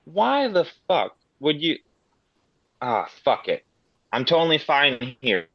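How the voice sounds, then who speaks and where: A man's voice: a little high, very animated, slow, breathy edge, dry recording, dull audio. A man reading a brash, foul-mouthed character's lines at a table read. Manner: brash and profane. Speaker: cast member at a table read. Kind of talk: casual table read performance.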